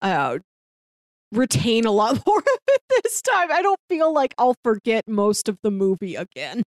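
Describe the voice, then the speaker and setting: A woman's voice: fairly high pitch, wildly swooping pitch, moderate pace, dry recording, clean studio sound. A woman co-hosting a podcast, chatting casually about films with her fellow host. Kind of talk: casual film review chat.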